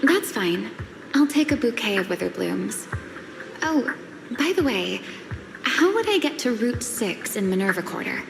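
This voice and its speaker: A woman playing a wistful, calmly stubborn traveler in a video game, with a languid, musing manner. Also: medium pitch, a little slow. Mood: musing, resolved. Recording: roomy sound with clear music behind.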